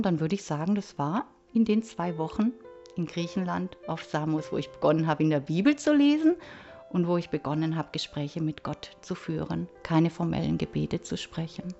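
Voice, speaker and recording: A woman's voice: low-pitched, mellow, muffled recording. A woman in her fifties from southern Germany, giving an earnest, reflective personal testimony as an interviewee. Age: 50s.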